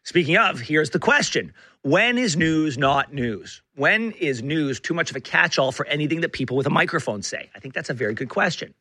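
A male talk radio host with a bright, forward voice that is a little hoarse and rough around the edges. It sits fairly high and is recorded dry and close in a studio. He talks emphatically, often stopping to rephrase himself. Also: no room sound. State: hoarse, rough voice from being unwell. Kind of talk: talk radio monologue and banter. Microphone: close studio broadcast mic.

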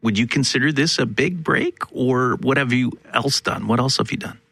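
A man's voice: mid-range voice, normal speed, creaky, husky, no room sound. A man hosting a radio news hour and interviewing guests in an upbeat, joking way. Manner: upbeat, jokey, animated questioning. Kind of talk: broadcast news and interview chat.

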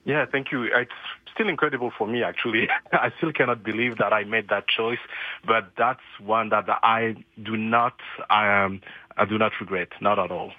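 A man's voice: on the low side, steady tone, moderate pace, no room sound, narrow, tinny audio. A man from France, guest on a radio interview, talking earnestly with hesitant restarts.

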